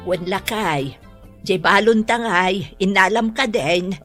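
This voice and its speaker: An actress playing a gossiping neighbor wife in an Ilocano radio drama, with an Ilocano accent. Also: female voice, medium pitch, takes it slowly, a bit bright, faint music behind. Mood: chiding.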